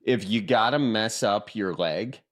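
A man's voice: on the low side, unhurried. A man hosting a podcast, chatting casually and joking, often addressing listeners as folks. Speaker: podcast host. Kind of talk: casual chat and interview.

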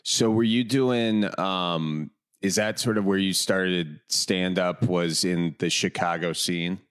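A man in his thirties with a Wisconsin accent, hosting a casual chat, easygoing and halting as he asks questions. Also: low voice, fairly even intonation, a bit bright, smooth. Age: 30s. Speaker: host.

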